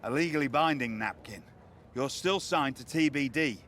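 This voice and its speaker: An actor playing a resigned, matter-of-fact wrestling boss in video game dialogue. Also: male voice, mid-range voice, moderate pace, fairly bright tone, a little hiss or hum, close to an everyday voice. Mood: resigned, apologetic. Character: resigned male wrestling boss breaking bad news.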